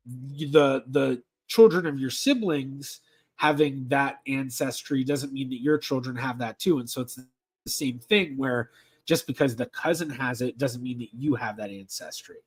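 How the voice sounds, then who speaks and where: A man hosting a casual livestream Q&A as a genealogist, talking in a halting way with many ums and restarts as he reads viewer questions aloud. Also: medium pitch, husky.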